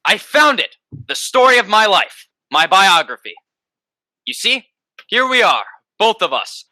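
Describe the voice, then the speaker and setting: A man's voice: high-pitched, very animated, a little slow, pushed and bright, no echo, exaggerated voice, low-quality recording. Young man as a swooping, blustering would-be president. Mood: pompous. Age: young adult. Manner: bombastic, pompous. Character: blustering deluded man who thinks himself President Roosevelt. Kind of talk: amateur radio play acting.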